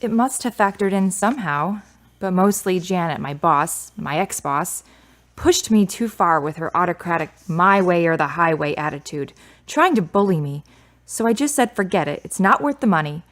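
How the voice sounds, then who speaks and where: A woman's voice: on the low side, lively intonation, moderate pace, a bit bright. A woman playing a candid, reflective, grieving daughter of about thirty in a radio drama. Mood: subdued, candid.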